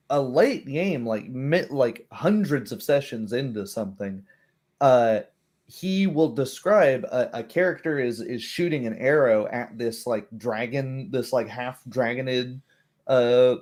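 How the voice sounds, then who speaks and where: Male, mid-pitched, casual conversational game master who acts out quoted lines. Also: a little mellow. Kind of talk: casual chat.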